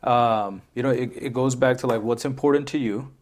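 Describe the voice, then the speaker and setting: Man, mid-pitched voice, podcast host, casual chat, loose filler-heavy talk. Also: moderate pace, a little mellow. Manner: conversational, leans on "like" and "right?", repeats words.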